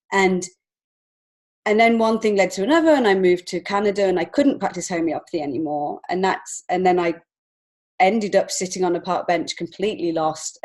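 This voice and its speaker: A woman's voice: fairly low pitch, darkish tone. A woman in her forties with an English accent, telling her personal story as a podcast guest in an earnest, reflective way. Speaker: podcast guest, children's author and illustrator.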